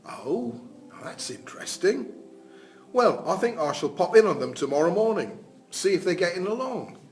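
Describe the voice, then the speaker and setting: A man's voice: high-pitched, big pitch swings, normal speed. A man in an amateur drama reading, playing a kindly male boss who talks politely and reassuringly. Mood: genial, reassuring. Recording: faint background noise.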